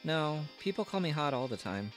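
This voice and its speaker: Man reading as a reserved, deadpan young woman. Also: a little high, normal speed, voice pushed up. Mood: dry. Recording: clear guitar music behind. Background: guitar music.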